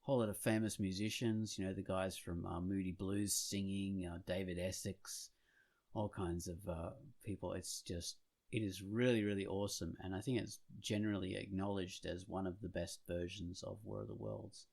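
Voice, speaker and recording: A man chatting casually as a podcast host, rambling and halting as he goes, growing animated when enthused. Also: sits low, creaky. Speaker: podcast host and comic artist.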